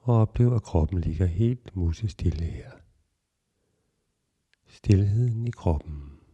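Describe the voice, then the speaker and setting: Very mellow, deep-voiced man guiding relaxation. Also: slightly rough, lo-fi sound. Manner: calm, measured, instructional, with long pauses. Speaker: yoga nidra guide. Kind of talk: guided relaxation meditation.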